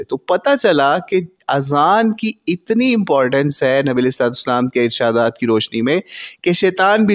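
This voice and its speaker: A man delivers a religious rebuttal in an earnest, lecturing tone, quoting sources by their numbers. His voice sits a little higher than most men's. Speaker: religious commentator.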